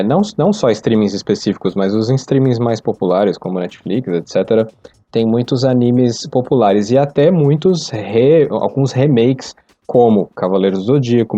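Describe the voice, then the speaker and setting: A man's voice: fairly low pitch, dark tone, no room sound, highs cut off. A Brazilian man chatting casually about pop culture as a participant on a podcast.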